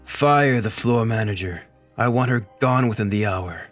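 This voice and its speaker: A man's voice: on the low side, moderate pace, faint music behind, natural voice. A man playing a ruthless corporate boss who gives curt, commanding orders in a fan-dubbed motion comic.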